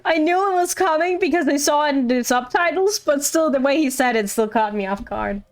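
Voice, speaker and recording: A woman's voice: sits high, expressive, fairly quick. A woman streamer giving casual, playful commentary while playing a game, repeating lines she hears in it. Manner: playful, echoes character lines.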